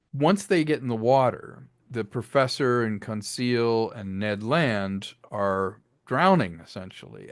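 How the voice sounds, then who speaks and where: A man's voice: fairly low pitch, no echo. A man being interviewed, explaining his creative work in a casual, storytelling way. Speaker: interview guest, filmmaker and audio drama creator.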